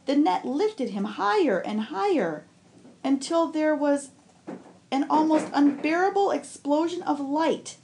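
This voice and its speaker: A woman on a school's staff reading a children's novel aloud, with expressive storybook narration. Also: sits high.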